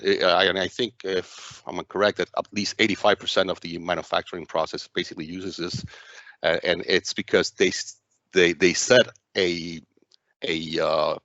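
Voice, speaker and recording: Man, low pitch, software walkthrough narrator, hesitant and halting, dry lo-fi sound. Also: dry recording. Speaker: software demo presenter.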